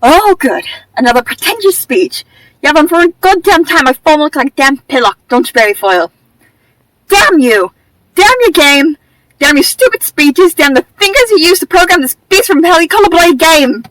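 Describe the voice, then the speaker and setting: A woman's voice: high-pitched, big pitch swings, normal speed, fairly bright tone, no room sound, rough recording. A woman playing a hot-tempered gamer in an audio drama, ranting and cursing indignantly. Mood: furious. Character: foul-mouthed, hot-tempered video game player, easily enraged.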